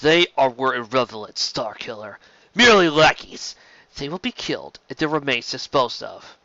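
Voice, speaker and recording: A man's voice: mid-range voice, very animated, normal speed, sharp tone, no echo, dull audio. Man acting out a haughty alien warrior leader. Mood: contemptuous. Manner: haughty, spitting contempt. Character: triumphant, contemptuous alien warrior leader, male.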